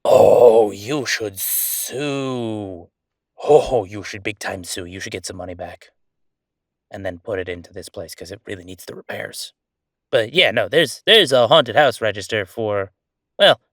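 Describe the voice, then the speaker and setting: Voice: medium pitch, dry recording, clean studio sound. An actor playing a chatty, sardonic ghost roommate in a roleplay audio, talking in a casual, teasing, exclamatory way. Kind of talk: roleplay audio acting, conversational.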